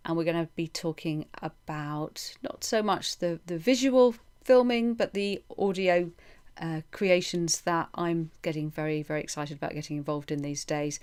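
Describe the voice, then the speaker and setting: A woman's voice: deep voice, a little mellow, clean tone. A woman interviewer talking in a conversational, chatty way, often rephrasing her questions as she goes. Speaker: interviewer, host.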